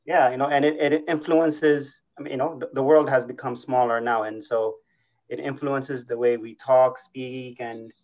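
A man from Eritrea, a guest on a podcast, speaking in a relaxed, thoughtful way and drawing out his words. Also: mid-range voice, moderate pace. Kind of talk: casual interview. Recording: dull lo-fi audio.

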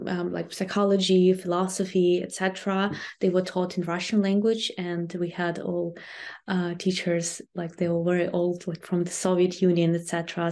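A young woman from Ukraine with a Ukrainian accent, answering interview questions hesitantly, with many fillers and pauses. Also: lowish voice, a little mellow. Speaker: interview guest.

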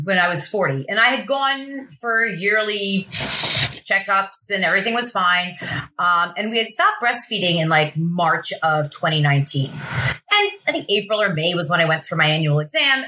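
Bright, lively woman in her forties. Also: mid-range voice, rough recording. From Pennsylvania, USA. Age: 40s. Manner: chatty storyteller, frequent "like" and laughs. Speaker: guest, veterinarian. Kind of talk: casual interview chat.

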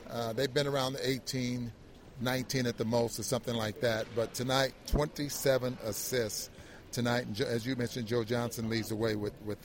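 Guy analyst chatting after a game, medium pitch, stumbles and repeats words, little bit of noise behind. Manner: halting, repeats words and circles back. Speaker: sports analyst. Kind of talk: conversational post-game analysis.

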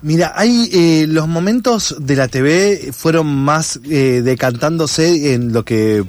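A man with an Argentine accent and a fairly high voice answers in an interview. He talks conversationally, thinking as he goes and often rephrasing. The sound is dry, with very little room in it. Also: expressive, slow, a little mellow, no room sound. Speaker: interviewed actor and content creator.

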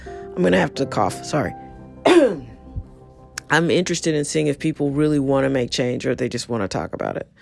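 A hoarse voice, worn down and close to giving out, rants in a blunt and exasperated way. The talk is an unscripted monologue addressed straight to the listener. Soft music runs quietly underneath. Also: mid-range voice, lively intonation, normal speed. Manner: frustrated, blunt, ranting. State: hoarse, losing voice. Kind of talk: casual spoken monologue.

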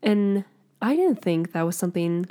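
A young woman co-hosting a podcast, telling a candid story in a giggly, animated way. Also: lowish voice, normal speed. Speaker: podcast co-host. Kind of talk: casual podcast chat.

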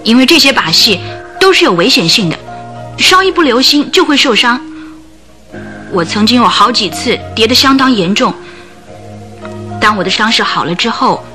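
A woman's voice: a little high, very animated, normal speed, pauses often, sharp tone, faint music behind. An actress in a radio play voicing a bitter, sorrowful circus trainer's wife, telling her hard life in a plaintive, dramatic way with sighs. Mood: weary, recounting.

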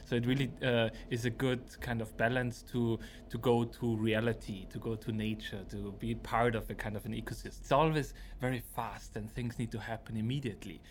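A man's voice: medium pitch, flat delivery, brisk, hardly any pauses, a little hiss or hum. A man with an Austrian accent and German as his first language, talking reflectively as an architect being interviewed.

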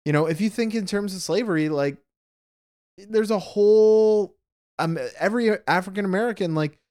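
A man's voice: high-pitched, moderate pace, a little mellow. A Canadian man muses through a casual movie chat, circling his point with fillers and restarts. His manner is reflective and easygoing, working out his thoughts as he speaks. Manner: reflective, rambling, many fillers. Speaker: film podcast co-host.

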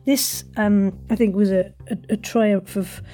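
A woman's voice: mid-range voice, fairly even intonation, measured pace, warm tone. A woman hosting a casual interview, effusive and wordy in her phrasing. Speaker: podcast host, interviewer.

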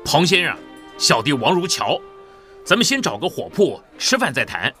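A man's voice: fairly high pitch, big pitch swings, normal speed, bright, forceful tone, soft background music. An actor playing a big, hearty, plain-spoken man in a Mandarin audio drama, with an emphatic manner. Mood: courteous.

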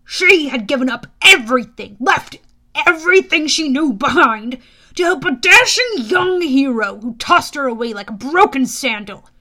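A woman voicing a haughty, bitter, menacing male wine god in an audiobook, with a sneering, deliberate, pompous delivery. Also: sits high, lively intonation, pushed and bright. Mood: sardonic. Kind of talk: audiobook character voice.

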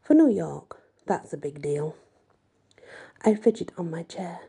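Woman reading a story aloud, really breathy husky voice, kinda dark n mellow, sardonic. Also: on the low side, very animated, measured pace. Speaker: audiobook reader. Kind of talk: first-person story narration.

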